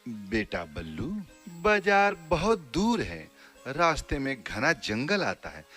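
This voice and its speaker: A man's voice: mid-range voice, huge pitch swings, slow pace, pushed and bright, soft background music, exaggerated voice. A man playing a caring, cautious village father in a children's cartoon, earnest and admonishing. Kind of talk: children's cartoon story acting.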